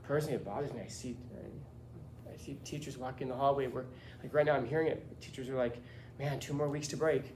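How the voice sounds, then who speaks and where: A man's voice: medium pitch, fast. Blunt man lecturing a classroom. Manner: blunt, animated, rhetorical questions. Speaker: teacher. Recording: echoey classroom with faint room noise.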